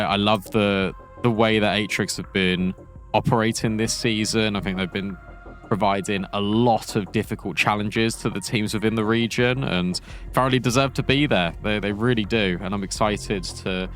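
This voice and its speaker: A male esports caster with a lowish voice, talking through plays in a relaxed, thinking-aloud way. Faint music plays underneath. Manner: conversational, repeats words as he thinks aloud. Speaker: esports commentator. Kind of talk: live game commentary and casual chat.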